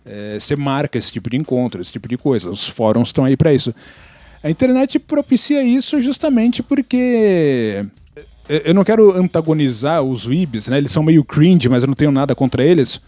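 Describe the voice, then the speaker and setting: Brazilian man with a higher, dark voice. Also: sing-song, fairly quick, no room sound. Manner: explanatory, peppered with tag questions. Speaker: podcast panelist. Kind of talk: casual explanatory podcast discussion.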